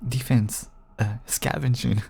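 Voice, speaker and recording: A man playing a gentle, apologetic, seemingly cured vampire husband in an audio roleplay, pleading tenderly in a soft, breathy, stammering voice. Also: medium pitch, steady tone, slow pace, soft background music, full character voice. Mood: dazed, apologetic. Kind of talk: intimate audio roleplay acting.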